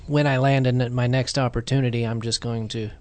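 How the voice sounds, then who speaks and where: A man guest on a radio talk show, hesitant and trailing off quietly as he talks about his job search. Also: mid-range voice, little pitch movement, brisk, smooth. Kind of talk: casual radio interview.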